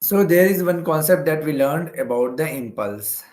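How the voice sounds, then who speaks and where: A man's voice: a little high, lively intonation, normal speed, a little mellow. A man with an Indian accent teaching step by step as a lecturer, often repeating phrases and checking in with "okay". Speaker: physics teacher. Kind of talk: explanatory exam-prep lecture working through problems step by step. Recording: roomy echo.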